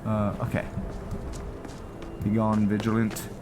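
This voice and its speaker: A man giving casual gameplay commentary as host, thinking aloud in a halting way, with game music behind him. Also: on the low side, monotone. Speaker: gaming channel host.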